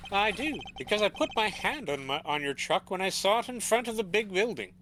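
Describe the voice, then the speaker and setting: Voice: medium pitch, very animated, a little fast, background noise, natural voice. A fan dubber voicing a composed, formal male prosecutor in a game dub, speaking stiffly and a little exasperated.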